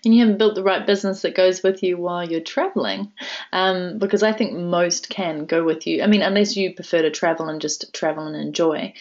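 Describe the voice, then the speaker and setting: A woman co-hosting a live webinar Q&A, upbeat and quick to laugh. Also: lowish voice, a little mellow. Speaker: webinar co-presenter. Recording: dull lo-fi webinar audio.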